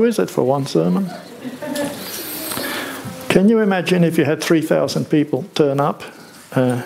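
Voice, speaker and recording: An older man, preaching a sermon from a church stage in a conversational, unhurried way with rhetorical questions. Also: mid-range voice, gravelly, a little mellow, slight background noise, low-quality recording.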